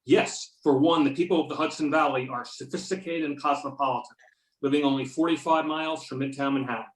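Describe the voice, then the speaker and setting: A man's voice: on the high side, fairly even intonation, brisk, close to an everyday voice. A man playing an earnest, argumentative agent who believes in UFOs, reading in an amateur readers theater over a video call. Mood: assertive. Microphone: video or conference call. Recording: roomy, distant video-conference microphone.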